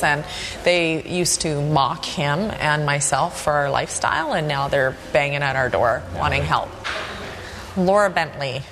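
A woman's voice: deep voice, background noise. A woman with a Canadian accent, a gym trainer, talking in a documentary interview.

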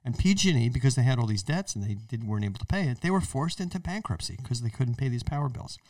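A man's voice: medium pitch, lively intonation, quick pace, a little mellow, dry recording, far from the mic. An American man hosting a podcast, explaining current affairs in a conversational, emphatic monologue with rhetorical questions. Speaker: podcast host.

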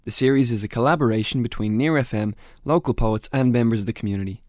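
Irish male presenter and interviewer for arts radio, medium pitch, dry acoustic. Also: fast talker, darkish tone, no echo. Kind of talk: scripted radio introduction and conversational interviewing.

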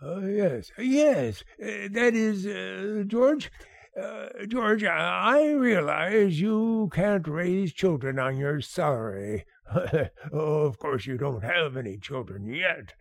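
An actor playing a blustering, fatherly older boss in a radio sitcom, gravelly and husky, stammering and repeating himself. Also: sits high, very animated, slow pace, no echo, heavily acted. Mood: fumbling, fatherly.

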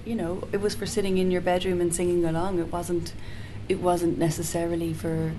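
A woman with a low, soft-spoken voice chats casually as a guest singer-songwriter. She circles back on herself with restarts and little fillers. A clear hiss runs under the recording. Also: little pitch movement, a little fast. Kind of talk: casual interview chat. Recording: noticeable background hiss.

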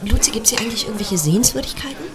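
A woman's voice: a little high, expressive, racing, crowd noise. An actress in an audio drama playing a polite young woman visiting a village, speaking in a casual, slightly hesitant conversational way. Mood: friendly, brisk.

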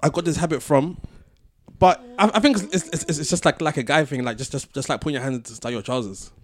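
A man hosting a casual podcast, excitable and slangy, often repeating words as he bantering with friends. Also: fairly high pitch, fast talker. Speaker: podcast host.

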